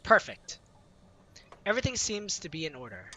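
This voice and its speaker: A man's voice: fairly high pitch, huge pitch swings, moderate pace, very noisy. A man reading a visual novel aloud, narrating in the first person with light laughs. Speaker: let's-play reader.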